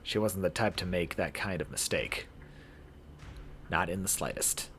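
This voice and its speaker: A man's voice: low-pitched, a little slow, fairly bright tone. A man narrating a visual novel aloud as a streamer, stumbling over words and rereading them. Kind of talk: live read-aloud narration of game text. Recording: clear background noise.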